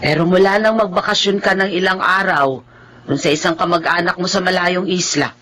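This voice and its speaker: A woman's voice: fairly low pitch, steady tone, a little fast, a bit bright. A woman playing a village captain's worried, suspicious wife in a Tagalog horror radio drama, concerned and probing.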